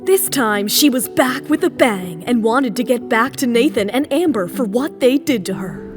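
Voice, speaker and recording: A woman's voice: on the high side, expressive, moderate pace. A woman reading dramatic scripted narration as the narrator of an audio drama. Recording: music behind the voice.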